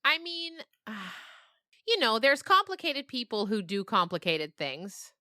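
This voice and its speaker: Woman, lively swooping pitch, bright tone, dry close sound, dramatic conversational storytelling. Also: slow pace, dry recording. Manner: dramatic, emphatic anecdote telling with acted asides. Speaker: podcast guest, children's writer.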